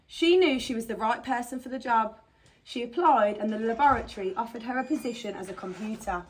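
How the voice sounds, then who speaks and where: A woman's voice: mid-range voice, a bit bright, poor audio. A woman on a school's staff reading a children's story aloud.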